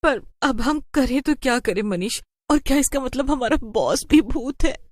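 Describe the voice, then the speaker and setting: A woman's voice: sits high, normal speed, pitched above the performer's own voice. A woman voicing an anxious, complaining young working wife in a cartoon story. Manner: exclaiming, fretful. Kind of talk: animated cartoon story voice acting.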